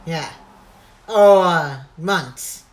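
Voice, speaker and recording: A man's voice: fairly high pitch, extremely slow, low-quality recording. A young man hosting a casual live stream with a friend, answering in short replies. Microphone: voice call.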